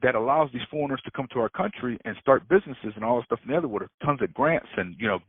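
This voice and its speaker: A man's voice: mid-range voice, animated, very fast, creaky, breathy edge, no echo. A man, a freight broker, ranting in casual talk on a phone call, swearing a lot and laughing often. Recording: tinny phone line.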